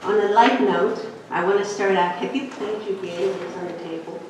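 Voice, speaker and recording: A woman's voice: medium pitch, steady tone, moderate pace, noisy background. A woman from Greece, giving a chatty inspirational talk as a guest speaker on a handheld mic, telling her story with light humor. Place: church hall. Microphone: handheld microphone. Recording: echoey hall, picked up from a distance.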